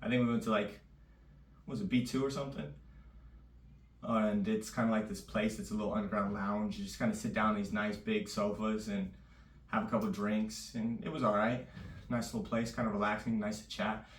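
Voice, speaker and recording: Man, low voice, slightly raspy, loose rambling chat, echoey room, distant mic. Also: little pitch movement, a little fast. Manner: loose and rambling, full of fillers. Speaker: vlogger.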